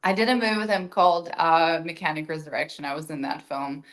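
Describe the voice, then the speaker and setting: A young woman with a light Ukrainian accent talks in a slightly low voice. She rambles casually as an interview guest, full of ums and restarts. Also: steady tone, quick pace. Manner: chatty, with many fillers and restarts. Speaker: actress guest.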